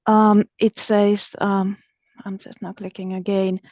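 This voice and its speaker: A woman's voice: mid-range voice, flat delivery, takes it slowly, a little mellow, dry recording. A woman talks on a video call, flustered and half-muttering to herself while struggling with her computer. Her voice sits in a middle range. The audio is dry and dull, with no room sound. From Finland. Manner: flustered by tech trouble, murmuring to herself. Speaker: startup founder. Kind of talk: casual talk on a video call.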